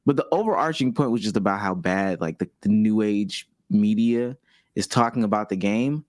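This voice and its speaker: A man's voice: lowish voice, normal speed, a little mellow, dry recording, clean studio sound. A man co-hosting a podcast, talking sports in casual group banter with a drawling, loose delivery. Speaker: podcast co-host.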